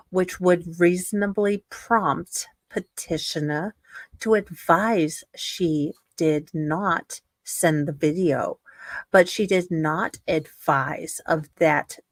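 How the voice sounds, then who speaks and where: A woman reading a court ruling aloud as comedy, haltingly, with exasperated asides. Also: lowish voice, dry recording. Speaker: reader in a comedy livestream.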